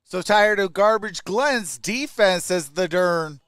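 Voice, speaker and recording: High pitched guy streaming fan play-by-play, real informal, dry close sound. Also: expressive, takes it slowly, a bit bright, clear, resonant tone, no room sound. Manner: informal, reacting aloud to plays and greeting viewers. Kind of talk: live fan commentary and chat.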